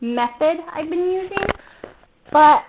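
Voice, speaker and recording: A young college-age woman with a high voice talks through her podcast in a loose, rambling way, stopping to correct herself as she goes. The sound is narrow and tinny, like a phone line. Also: animated, takes it slowly, a little hiss or hum. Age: about 20. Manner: chatty, rambling, self-correcting. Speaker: podcast host. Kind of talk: casual solo podcast talk.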